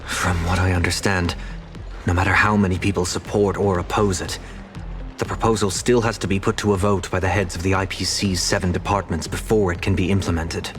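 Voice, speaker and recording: A man's voice: sits low, level tone, fairly quick, lightly acted. A man playing a serious, attentive analyst, speaking carefully and matter-of-factly in video game dialogue. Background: game music.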